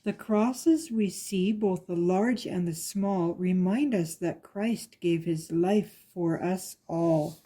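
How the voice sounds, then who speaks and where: A woman reading a rhyming children's picture book aloud with a measured, sing-song delivery. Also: lowish voice, warm tone, a little hiss or hum. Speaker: guest story reader.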